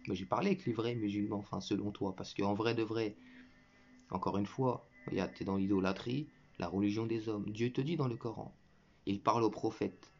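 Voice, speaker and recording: A man with a fairly low voice delivers a heated religious rant straight at an opponent, scolding and mocking by turns. His tone is lecturing and scornful, with bursts of derisive laughter. The recording sounds dull and lo-fi. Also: darkish tone. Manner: scornful, lecturing, addresses listener directly as "tu". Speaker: online religious commentator. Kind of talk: combative reaction monologue to viewers. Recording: muffled lo-fi recording.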